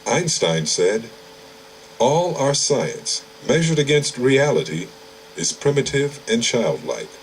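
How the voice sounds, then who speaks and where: Expressive male essay narrator, noisy recording. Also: mid-range voice, takes it slowly. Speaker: reader of an essay. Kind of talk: scripted essay narration with read-out quotations. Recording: clear background noise, ambient sound.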